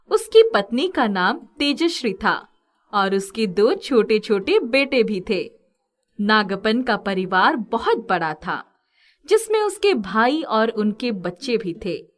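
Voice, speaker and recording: A woman narrating a scripted story as a storyteller. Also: on the high side.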